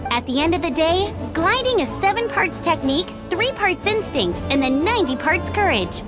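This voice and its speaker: A spirited young outrider in a fantasy game cutscene. The voice sits very high and bounces through a lively range. Music plays clearly beneath the dialogue. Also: female voice, normal speed. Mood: chatty. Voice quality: bright, upbeat and energetic. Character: young woman, cheerful, bold outrider. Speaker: game character.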